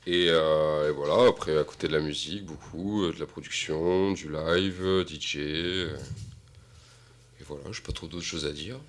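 A man who works as a DJ and producer, talking modestly and haltingly as a radio guest. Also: very deep voice, steady tone. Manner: modest, halting, filler-heavy. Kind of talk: casual interview talk.

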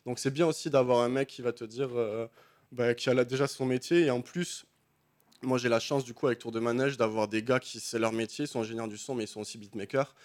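A man's voice: mid-range voice, fairly quick. Laid-back man chatting with lots of fillers. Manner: relaxed slangy talk with many fillers. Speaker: guest beatmaker. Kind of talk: casual radio chat.

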